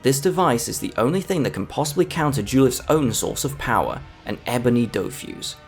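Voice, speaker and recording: Animated man narrating a video essay. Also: medium pitch, brisk. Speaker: video essayist, film reviewer. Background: background music.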